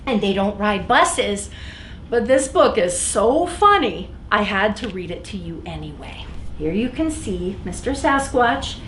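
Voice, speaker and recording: A woman's voice: medium pitch, sing-song, unhurried, fairly bright tone. An American woman hosting an interactive story time for young children, speaking simply and with lots of animation. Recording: roomy echo, some background noise.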